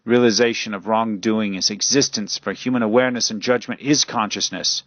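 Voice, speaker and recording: A man's voice: fairly low pitch, fairly even intonation, a little fast, fairly bright tone, no room sound, highs cut off. A man narrating a fan fiction radio drama in a florid, portentous style.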